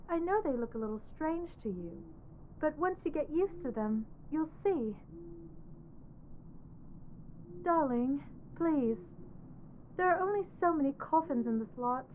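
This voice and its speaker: A woman voicing a lovestruck, clingy undead corpse bride in an ASMR audio roleplay, soft, earnest and pleading. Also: high-pitched, expressive, normal speed, phone-quality sound, a little hiss or hum. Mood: coaxing, tender.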